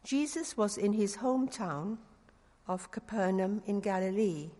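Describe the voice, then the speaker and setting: A woman's voice: mid-range voice, animated, measured pace, dark tone. Singaporean woman preaching in a reverberant church. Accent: Singaporean English with a touch of London slang. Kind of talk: sermon.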